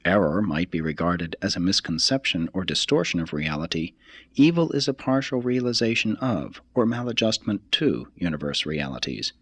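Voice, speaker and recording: A man reads a religious text aloud as scripted narration, in a husky voice with a measured, formal and deliberate delivery. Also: low voice, big pitch swings, a bit bright, no room sound. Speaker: audiobook reader.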